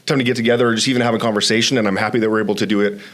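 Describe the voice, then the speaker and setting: A man's voice: on the low side, little pitch movement, very fast, fairly bright tone. A man hosting a conversational interview, often repeating and restarting his words. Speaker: podcast host.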